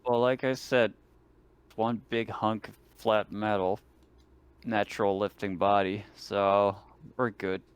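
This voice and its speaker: A man's voice: on the low side, little pitch movement, takes it slowly, clean tone, no echo, lo-fi sound. A man playing a tabletop roleplaying game, chatting casually and speaking in character in a laid-back, wry way.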